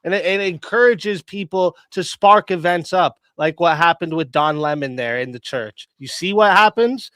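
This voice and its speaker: A man's voice: fairly high pitch, moderate pace, hardly any pauses, fairly bright tone, clear, resonant tone, no echo. A man hosting a live debate stream, talking bluntly with lots of swearing and restarts. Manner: profane, blunt, repeats himself. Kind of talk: heated casual debate.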